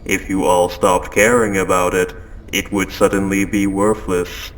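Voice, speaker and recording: A man voicing a calm, possessive spaceship AI in an audio roleplay, speaking in a measured, formal, softly coaxing way. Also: sits low, poor audio. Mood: probing, persuasive. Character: calm, possessive spaceship AI turning quietly obsessive. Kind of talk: scripted audio roleplay monologue. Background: ambient sound effects.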